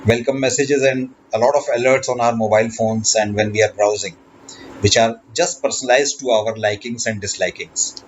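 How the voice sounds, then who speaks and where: A man delivers an explanatory lesson in a medium-pitched voice, halting now and then to rephrase. The recording is dull and lo-fi, with faint noise behind him. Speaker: lecturer.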